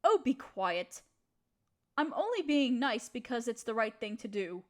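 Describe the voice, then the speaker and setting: A woman playing a gentle, proper young noblewoman who speaks politely and coyly to her servant in an intimate roleplay audio drama. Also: a little high, big pitch swings, a little slow. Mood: playfully indignant. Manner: polite, formal, period phrasing, coyly teasing.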